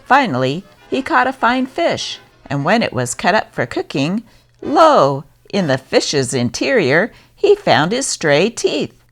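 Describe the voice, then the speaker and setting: A woman's voice: medium pitch, sing-song, a little slow, faint music behind. A woman narrator giving a scripted reading of old newspaper stories.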